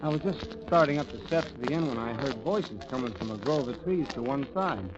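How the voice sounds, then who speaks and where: A man's voice: mid-range voice, lively intonation, a little fast, lightly acted. An actor playing an earnest, bewildered young man accused of murder, who also narrates, in 1940s radio drama style. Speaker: narrator and lead. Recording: dull old radio recording with music.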